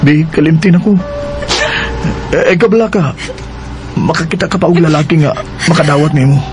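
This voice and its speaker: Actor as an emotive Filipino boyfriend, music behind. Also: male voice, on the high side, brisk, close to an everyday voice. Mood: apologetic, firm. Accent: Cebuano Filipino. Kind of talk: Philippine radio drama acting.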